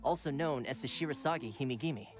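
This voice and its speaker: A man's voice: medium pitch, fast, natural voice. An actor playing a friendly, suave young male retainer in a video game, with a polite, playful and formal way of talking. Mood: warm, explanatory. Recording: game dialogue over background music.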